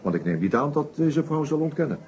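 A methodical, shrewd police inspector laying out his case in a radio play. The voice is soft-edged and moves up and down with animation, pausing deliberately over small hesitations. The old recording sounds dull. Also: on the high side, very animated. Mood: grave, explaining. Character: shrewd, methodical male detective inspector summing up a murder case. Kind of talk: Dutch radio play acting.

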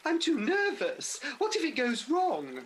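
A woman's voice: high-pitched, wildly swooping pitch, a little slow, a crowd in the background, full character voice. A woman playing a nervous, grumbling older pantomime dame in a radio play, exclaiming melodramatically.

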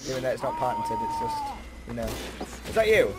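A young British man chatting casually and excitably with a friend as he commentates on a game, often putting himself down. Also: high-pitched, brisk. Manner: excitable, self-deprecating. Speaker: gaming video host. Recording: noisy amateur mic, game audio behind.

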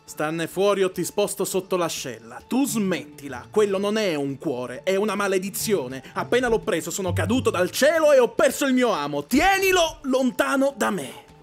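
A man voicing a big, cocky, boastful demigod in an animated film dub, with swaggering, theatrical delivery and quick exasperation. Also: sits high. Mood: alarmed, irritated. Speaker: fan dubber. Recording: music behind.

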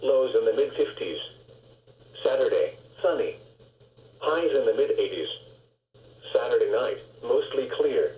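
A man's voice: medium pitch, expressive, measured pace, long pauses. An American man reads out a weather forecast as a radio announcer. The sound is dull and band-limited, with a roomy echo around the voice. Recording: muffled, roomy radio broadcast.

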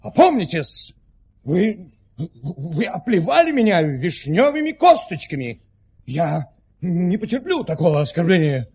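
Very raspy, flustered count. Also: male voice, sits high, very animated, a little slow, a little mellow, heavily acted. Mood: indignant. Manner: stammering, repeating himself, sputtering. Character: flustered, indignant, gullible count, easily bewildered. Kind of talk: Russian audio play comedic acting.

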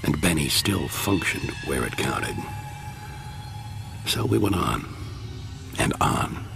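A bleak radio drama narrator: a weary, bitter survivor who broods and halts. The voice is low and very slow, with music beneath it. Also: male voice, sing-song, gravelly, heavily acted. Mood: bleak, brooding.

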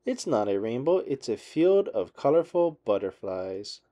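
A man reads a storybook aloud for small children. His voice is mellow and moves up and down animatedly, with measured, expressive phrasing. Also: medium pitch. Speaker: children's book narrator.

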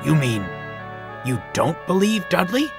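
Man voicing a gentle, earnest diesel engine, very animated. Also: medium pitch, normal speed, over music. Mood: hopeful, relieved.

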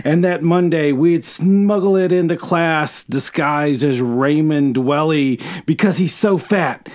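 Higher-voiced man on a book podcast. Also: slow, a little mellow. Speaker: podcast co-host. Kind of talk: casual retelling and dramatic book reading.

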